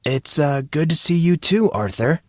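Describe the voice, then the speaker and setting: A man's voice: fairly high pitch, lively intonation, normal speed, dry recording, close to an everyday voice. Man playing a guarded, sarcastic teenage boy, dry recording. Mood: defensive. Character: guarded, sarcastic fourteen-year-old boy, awkward and exasperated. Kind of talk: audio drama character dialogue.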